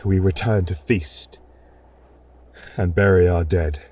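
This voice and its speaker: A man's voice: sits low, steady tone, takes it slowly, a little mellow. A man voicing a defiant warrior king, stern and commanding. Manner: commanding, resolute.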